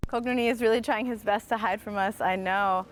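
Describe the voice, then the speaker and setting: A woman's voice: medium pitch, steady tone, brisk, slight background noise. An American woman hosting a live-streamed walking tour outdoors, talking with viewers in a chatty, upbeat way and thinking aloud with lots of fillers. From United States. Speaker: host. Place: outdoors, walking around a historic site.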